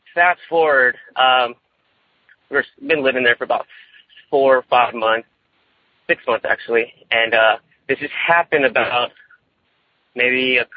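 A young man, calling in by phone and telling a personal story in a casual, rambling, nervous way, often halting and repeating himself. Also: medium pitch, husky, no echo. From California, United States. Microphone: phone line. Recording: tinny, boxy phone call.

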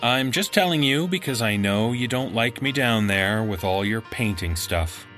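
A man's voice: medium pitch, animated, normal speed, fairly bright tone, soft background music, natural voice. A man playing an earnest, stubborn teenage boy in a horror story audiobook. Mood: stubborn, grumpy. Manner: earnest, a little grumpy. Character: teenage boy, stubborn amateur sleuth turned terrified. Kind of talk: first-person horror story character.

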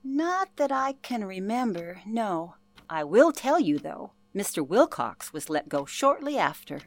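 A woman's voice: medium pitch, big pitch swings, a little slow, dry recording. An actress playing an older former telephone operator, telling her past in an earnest, genteel way for a radio play. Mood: matter-of-fact. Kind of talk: radio play, interview-style reminiscence.

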